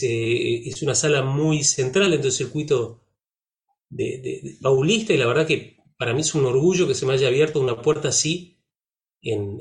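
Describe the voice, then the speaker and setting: Uruguayan man talking reflectively. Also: mid-range voice. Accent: Rioplatense Spanish. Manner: reflective, uses many fillers and tag questions. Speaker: musician, interview guest. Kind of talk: relaxed conversational interview.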